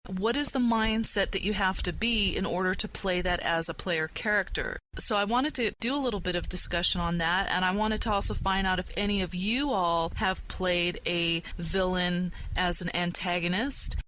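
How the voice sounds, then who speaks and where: A woman's voice: lowish voice, moderate pace, few pauses, a bit bright, a little hiss or hum, rough recording. A woman hosting a podcast, presenting topics and leading discussion. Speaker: podcast host.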